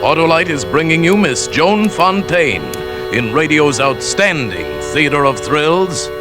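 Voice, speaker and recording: Slow dramatic radio announcer, man, over loud music. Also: fairly high pitch, expressive. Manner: dramatic, deliberate. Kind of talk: 1950s radio thriller introduction, scripted.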